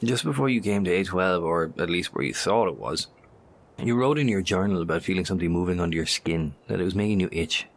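Deep-voiced, earnest professor, played by a man. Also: fast, a little hiss or hum. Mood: worried, probing. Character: worried male professor, earnest, cautious survivor. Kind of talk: horror audio drama acting.

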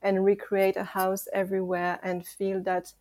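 A woman in her thirties with a French accent, speaking as a podcast guest in a reflective, earnest way. She sometimes pauses to search for the right word. Her voice sits mid-pitch and is recorded dry and close. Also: completely flat delivery, a little mellow, no room sound. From France. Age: 30s. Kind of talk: casual conversational interview.

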